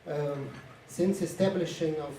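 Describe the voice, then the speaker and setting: A man who is not a native English speaker gives a formal conference speech in a halting, hesitant way. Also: on the high side, dark tone. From Ukraine-born, lived mostly in Estonia. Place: indoor conference room. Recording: echoey room, distant mic, faint noise.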